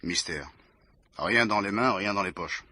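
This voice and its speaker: Low, raspy police inspector. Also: male voice, unhurried, sharp tone, no echo, heavily acted. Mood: businesslike. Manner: clipped, factual, reporting. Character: matter-of-fact male police inspector, dutiful subordinate. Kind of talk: French radio mystery play acting.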